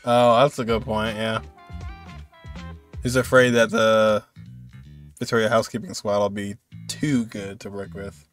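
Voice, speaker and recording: A man's voice: on the low side, little pitch movement, a little slow, pauses often. A young man giving casual, amused live reaction commentary as a streamer, in informal loose remarks. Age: young adult. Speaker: streamer reacting to game cutscenes. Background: game music.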